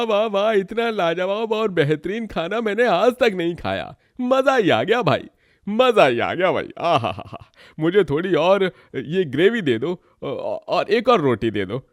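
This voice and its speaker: An actor playing a hearty, exclaiming village food lover in a cartoon story. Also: male voice, high-pitched, very animated, measured pace, no room sound, clean studio sound. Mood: delighted. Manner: effusive, exclaiming. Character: hearty male village diner relishing his food.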